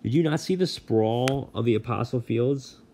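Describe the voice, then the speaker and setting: A man's voice: mid-range voice, moderate pace, warm tone, pitched above the performer's own voice, natural voice. A man reading aloud, voicing an angry, harried male god of the dead who bellows complaints and threats. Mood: ranting. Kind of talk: audiobook reading.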